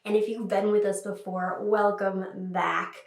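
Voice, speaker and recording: A woman's voice: mid-range voice, little pitch movement, unhurried, vocal fry. Stuffy-nosed woman in an echoey room. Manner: chatty, upbeat, frequent "um" fillers. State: stuffy from a cold. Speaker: beauty vlogger. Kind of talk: casual makeup tutorial. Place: small room indoors.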